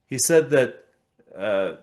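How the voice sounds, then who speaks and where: A man from Iran giving geopolitical analysis as an interview guest, speaking deliberately with halting pauses. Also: medium pitch, animated, darkish tone.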